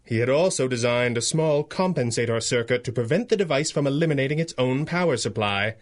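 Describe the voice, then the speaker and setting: A man's voice: medium pitch, expressive, brisk, natural voice. A man acting an urbane masked crime-fighter in a 1930s-style radio serial. His mid-range voice is formal and articulate, with earnest, confident authority. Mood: keen. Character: urbane, confident masked superhero boss and inventor.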